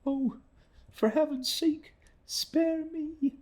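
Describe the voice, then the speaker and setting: Weeping young woman begging for mercy, high and breaking, drawn-out delivery as a male reader puts her on. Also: fairly even intonation, darkish tone, heavily acted. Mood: pleading, sobbing. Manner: high, sobbing, imploring.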